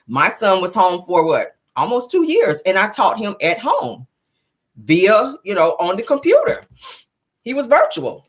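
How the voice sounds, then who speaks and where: A woman's voice: mid-range voice, husky. A woman hosting a celebrity gossip show, talking to viewers in an informal, slangy, chatty way. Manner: informal, slangy, addressing viewers as guys and honey. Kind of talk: casual chatty news commentary to viewers.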